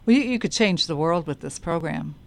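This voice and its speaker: A woman's voice: sits low, lively intonation, brisk, vocal fry. A woman with a Boston accent hosting a radio interview in a chatty manner. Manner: chatty, friendly banter. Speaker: radio show host.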